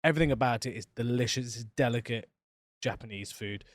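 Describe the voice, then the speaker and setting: A man co-hosting a podcast, animated and quick to laugh, his voice dropping low at phrase ends. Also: no room sound, studio quality. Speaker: podcast co-host. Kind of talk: casual chat.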